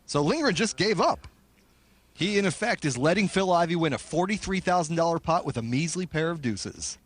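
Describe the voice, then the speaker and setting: A man's voice: on the high side, animated, normal speed. Analytical male poker color commentator. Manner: analytical, explains the odds of each hand.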